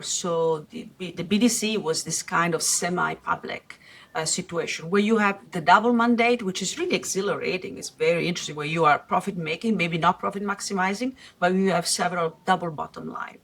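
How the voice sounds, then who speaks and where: A middle-aged woman with a slight Italian accent, from Italy, explaining her career calmly as a guest in a podcast interview, pausing often. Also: fairly low pitch, vocal fry. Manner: measured, explanatory, with frequent "uh" pauses. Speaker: guest investor.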